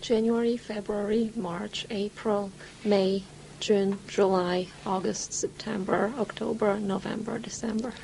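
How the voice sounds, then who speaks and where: A woman's voice: mid-range voice, level tone, measured pace, vocal fry, darkish tone, a little hiss or hum, poor audio. A woman from Bulgaria, answering as a patient in short, simple replies. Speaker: patient in an exam demonstration. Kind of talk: short answers to test questions.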